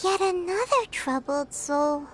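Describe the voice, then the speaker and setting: A tiny, chirpy companion creature from a video game, talks in an extremely high little voice. It is curious and perky, with lively swings in pitch, and music plays clearly behind it. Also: female voice, unhurried. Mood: sympathetic, matter-of-fact. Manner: inquisitive, perky, expressive. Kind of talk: animated game dialogue.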